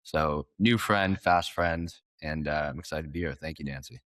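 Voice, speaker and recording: A man's voice: a bass voice, normal speed, a bit bright, dry recording. A man from Long Island who introduces himself casually and thanks the host in a friendly way. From Long Island, New York. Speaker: guest.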